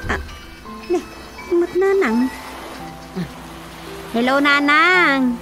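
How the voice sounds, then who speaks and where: A grown daughter in a radio drama. She has a high voice and an unhurried, exclamatory way of speaking, with music clearly underneath. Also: animated, heavily acted, poor audio. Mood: surprised, concerned. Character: grown daughter of an elderly couple. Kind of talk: comic radio drama acting in Ilocano.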